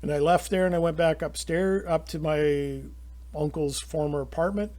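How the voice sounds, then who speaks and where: A middle-aged Canadian man pours out his opinions in a rambling, indignant monologue. His voice sits a little high for a man, and he often stops and restarts his sentences. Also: moderate pace, a little mellow. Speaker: channel host.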